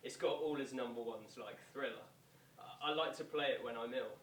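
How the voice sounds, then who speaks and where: A man's voice: medium pitch, brisk, fairly bright tone, lightly acted. A man playing a shy, starstruck young music fan in a stage monologue. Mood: shyly starstruck. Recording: distant, echoey, noisy stage recording.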